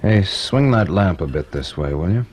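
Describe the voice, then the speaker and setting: A man playing a keen, restless young student in a radio drama, talking informally in excitable, slightly hesitant bursts. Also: low voice, moderate pace. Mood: eager, excited. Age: young adult. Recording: faintly noisy radio drama recording.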